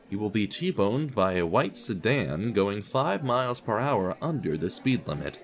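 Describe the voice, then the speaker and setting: A man narrating a scripted fiction podcast in a wry, dramatic manner with deliberate phrasing. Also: medium pitch.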